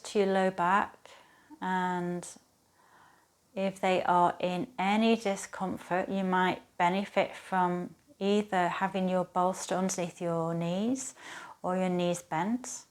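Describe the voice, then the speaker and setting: A woman leads a guided relaxation as a yoga teacher. Her voice sits a little low for a woman. She speaks gently and soothingly, leaving unhurried pauses between phrases. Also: fairly even intonation.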